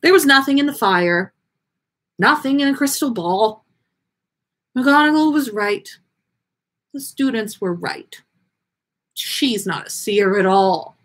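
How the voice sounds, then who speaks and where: Lady doing a dramatic dreamy psychic woman from a story, flustered, halting, swoopy husky voice. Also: fairly high pitch, lively intonation, a bit bright, heavily acted. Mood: despairing. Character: dreamy, melodramatic woman fortune-teller, easily flustered.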